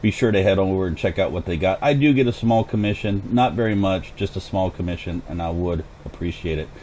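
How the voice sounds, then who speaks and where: A man casually commenting on his own farming game as host, thinking aloud as he plays, his voice hoarse and going. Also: low voice, fairly quick, darkish tone, slight background noise. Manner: chatty, thinks aloud while playing. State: hoarse, clearing throat. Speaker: gaming channel host.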